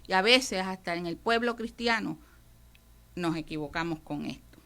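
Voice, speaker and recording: A woman's voice: on the low side, normal speed, bright, forceful tone. A woman pastor with a Puerto Rican accent, teaching emphatically on a radio devotional program and speaking straight to her listeners. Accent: Puerto Rican Spanish. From Puerto Rico. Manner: emphatic, addresses listeners directly as brother.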